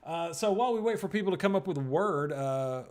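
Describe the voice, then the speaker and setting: A man hosting improv games over a video call, lively and quick with asides and frequent laughs. His voice sits fairly high. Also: a crowd in the background. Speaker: improv show host.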